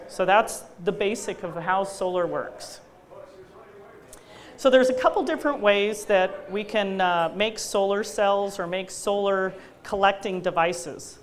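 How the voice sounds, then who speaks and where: A loud, energetic middle-aged woman lectures about engineering in a hall, talking chattily and filling pauses with ums and you-knows. Her voice sits at an ordinary pitch for a woman. A faint hiss runs under the recording. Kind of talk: public lecture with slides.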